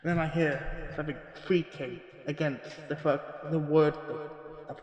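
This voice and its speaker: A man playing a terrified, unravelling witness in a horror audio drama, halting, stammering and repeating himself. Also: on the high side, steady tone, darkish tone, natural voice. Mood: fearful, haunted. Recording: echoey room with long reverb.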